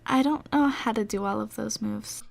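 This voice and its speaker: A woman's voice: on the high side, moderate pace, gravelly, a little mellow, no echo. A woman playing a shy, melancholy teenage girl in an amateur audio drama, bashful and polite.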